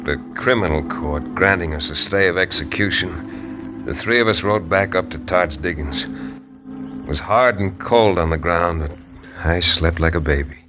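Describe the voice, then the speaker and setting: An actor in a 1950s radio western playing a calm frontier lawyer who also narrates, measured and dry. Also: male voice, deep voice, music in the background. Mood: narrating.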